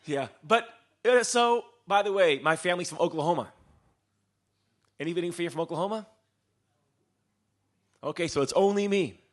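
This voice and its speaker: A man from Israel giving a live lecture as a storyteller, posing rhetorical questions and pausing for dramatic emphasis. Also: high voice, expressive. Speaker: public speaker, lecturer.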